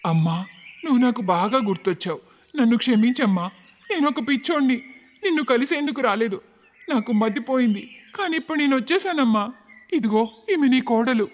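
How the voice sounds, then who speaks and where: A wheedling, greedy grown son from a cartoon moral tale, played by a man. He has a high male voice and a melodramatic delivery, heard in long hall reverb. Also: lively intonation, brisk. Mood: pleading, apologetic. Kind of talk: dramatic cartoon story dialogue.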